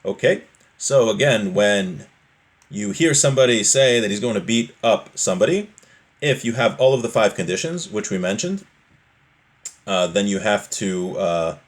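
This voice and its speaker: A man's voice: fairly low pitch, animated, normal speed. A man teaching an informal religious text class, explaining each point in plain, everyday words and repeating it for clarity.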